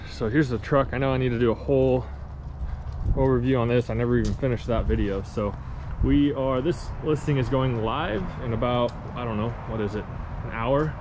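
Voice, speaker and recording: A man talking offhandedly to his vlog, halting and thinking aloud in a casual, unscripted way. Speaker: vlogger and real estate agent. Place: in a truck and outdoors on streets. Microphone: handheld action camera. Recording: dull action-camera audio, loud vehicle rumble. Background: loud engine and road rumble.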